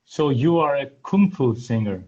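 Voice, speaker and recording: A man's voice: medium pitch, very animated, normal speed, dark tone, highs cut off. A man from Turkey hosting a conversational interview, curious and playful in his questions. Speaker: interviewer host.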